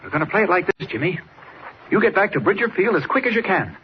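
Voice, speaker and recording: A high-pitched, sharp reporter hero in a 1940s radio serial. He is brisk, commanding and urgent. The sound is dull with faint noise behind. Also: big pitch swings. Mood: urgent, decisive.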